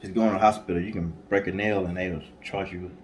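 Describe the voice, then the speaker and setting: A man's voice: low voice, steady tone, fast, slight background noise. A man co-hosting a casual storytelling show, speaking conversationally with informal asides. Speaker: co-host.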